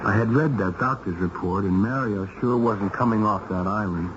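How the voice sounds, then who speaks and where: An actor playing a wry, skeptical, baby-faced American cop in a 1970s radio drama, talking in hard-boiled slang. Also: male voice, fairly low pitch, normal speed, close to an everyday voice. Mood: reflective. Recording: noisy, dull old radio recording.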